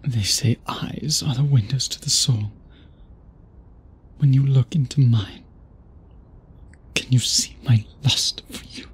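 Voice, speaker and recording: A man playing a centuries-old vampire suitor in an intimate ASMR audio drama, speaking in formal, archaic, deliberate phrases with a breathy, husky voice. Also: medium pitch. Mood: menacing, obsessive. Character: centuries-old male vampire suitor, possessive, courtly, brooding.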